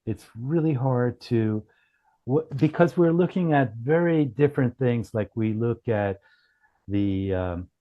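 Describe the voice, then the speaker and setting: A man speaking as a company founder, explaining technical matters haltingly on a group call. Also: medium pitch, phone-quality sound. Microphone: online group call.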